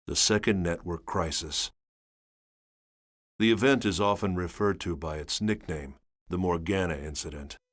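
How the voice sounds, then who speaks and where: An actor playing a sober engineer recounting his journal in measured, grave narration for a video game. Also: low-pitched. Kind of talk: scripted dramatic narration.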